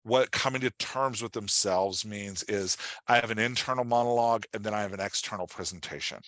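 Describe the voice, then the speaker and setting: A man's voice: lowish voice, brisk, fairly bright tone, dry recording. A man teaching live online as a writing coach, explaining in a conversational, encouraging way and repeating phrases for emphasis. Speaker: writing coach answering audience questions.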